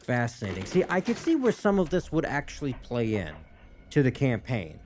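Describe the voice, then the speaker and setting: Guy doing chill rambling reaction commentary, average pitch, bit of noise behind him. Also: huge pitch swings, normal speed, a little mellow. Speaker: YouTuber reacting to game cutscenes.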